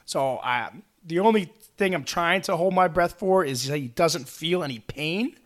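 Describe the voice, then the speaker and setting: A man with a somewhat raised pitch and a slightly raspy, worn-out voice co-hosts a casual sports podcast. He talks fast and loosely, restarting sentences and filling gaps with "uh" and "um.". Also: fairly bright tone. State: hoarse after a busy weekend. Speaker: podcast co-host.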